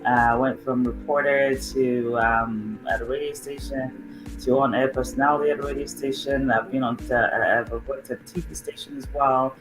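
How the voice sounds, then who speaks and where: A man's voice: medium pitch, steady tone, normal speed, over music, rough recording. A middle-aged man from Nigeria introducing himself as a guest, rambling with many fillers and restarts. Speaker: guest on an online show. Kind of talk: casual self-introduction.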